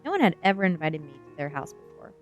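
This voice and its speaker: Low-pitched woman reading aloud on stream. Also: very animated, quick pace, soft background music. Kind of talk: reading a novel aloud on a livestream, with chat asides; ASMR reading.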